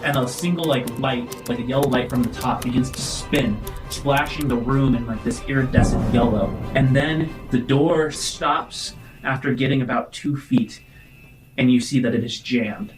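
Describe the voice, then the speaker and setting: A man working as game master, improvising dramatic tabletop narration in halting phrases. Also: medium pitch, over music.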